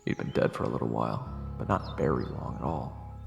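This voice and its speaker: Deep, gravelly male narrator. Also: fairly quick. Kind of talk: scripted first-person story narration. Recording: echoey, long reverb, faint music behind. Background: music.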